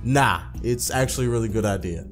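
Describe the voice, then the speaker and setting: A man voicing a laid-back young male gamer, the wry protagonist of a visual novel, in a low-key way. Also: brisk, soft background music, lightly acted. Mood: supportive.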